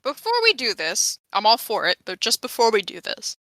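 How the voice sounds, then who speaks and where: A woman's voice: on the high side, huge pitch swings, moderate pace, a bit bright, dry recording. A woman chatting casually as a player in a tabletop role-playing game. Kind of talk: casual chat.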